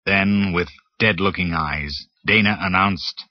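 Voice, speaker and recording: A man's voice: deep voice, slow pace, dry recording, highs cut off. A man narrating a children's read-along story dramatically, from a scripted text, on a 1980s cassette. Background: music.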